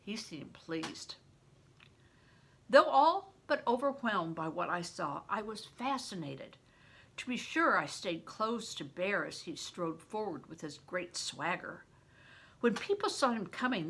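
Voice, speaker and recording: A woman's voice: mid-range voice, bright, forceful tone, breathy edge. An older woman, a grandmother, reading a story aloud expressively, as if to children. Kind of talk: read-aloud audiobook narration with plain asides.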